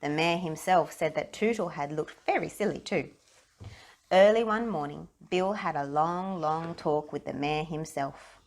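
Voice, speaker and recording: A woman reading a children's story aloud in an expressive narrating style. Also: low voice, measured pace. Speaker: storybook reader.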